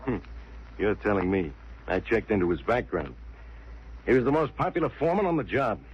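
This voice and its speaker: Blustery police inspector, vintage radio. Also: male voice, fairly low pitch, animated, fairly quick, slightly rough. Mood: pressing. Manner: blustery, sarcastic, insistent. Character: brash, needling male police inspector. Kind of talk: 1940s radio acting. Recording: dull, hissy old radio recording.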